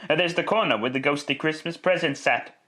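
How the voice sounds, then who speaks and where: A man playing an overjoyed old miser turned merry in a classic radio drama, exclaiming and stammering with excitement. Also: on the high side, a little fast. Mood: amazed, joyful.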